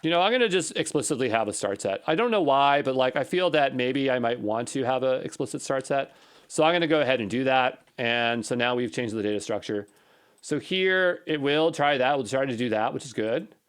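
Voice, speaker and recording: Guy talking thru his code as he works, mid-pitched voice, kinda unsure, keeps asking himself questions. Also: animated, brisk, clear, resonant tone. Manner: tentative, musing, questioning himself. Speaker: programming instructor. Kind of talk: unscripted coding walkthrough, thinking aloud.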